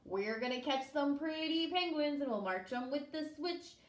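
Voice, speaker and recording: A woman's voice: high-pitched, animated, normal speed, voice pushed up. A woman acting out a tough hunter, growly, menacing, rhythmic, storybook villain, echoey room. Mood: menacing chant. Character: rough, tough, growly male penguin hunters. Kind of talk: children's storybook character voice.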